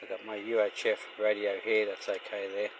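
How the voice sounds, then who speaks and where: A man's voice: on the low side, completely flat delivery, fairly quick. An Australian man giving a casual, rambling, plain-spoken walkthrough of a hands-on job, recorded on location around a car. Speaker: vlogger showing a DIY car radio install. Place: in and around a car under a carport. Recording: noisy handheld recording on location.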